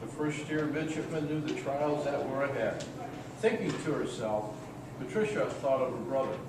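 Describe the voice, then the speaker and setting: A man's voice: mid-range voice, moderate pace. Male radio-play narrator, scripted delivery, very echoey, noisy recording. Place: echoey room at a senior center. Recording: roomy echo, distant mic, clear noise.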